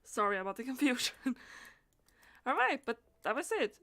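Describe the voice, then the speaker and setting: A woman's voice: a little high, big pitch swings, normal speed, sharp tone. A woman doing casual live gaming stream commentary, thinking aloud hesitantly and letting phrases trail off.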